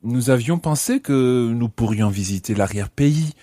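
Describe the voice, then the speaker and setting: A man's voice: medium pitch, lively intonation, normal speed, warm tone, no echo, clean studio sound, lightly acted. A man playing an easygoing tourist on holiday in a scripted lesson radio play, speaking carefully and expressively. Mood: easygoing, thoughtful.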